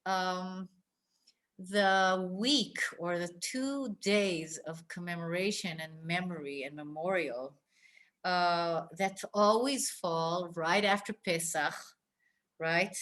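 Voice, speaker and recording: An older woman from Israel teaches in an informal, personal way. Her voice sits a little low for a woman. She talks reflectively, often stopping partway through a phrase before carrying on. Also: fairly bright tone. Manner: reflective, halting delivery with pauses mid-phrase. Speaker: teacher, scholar.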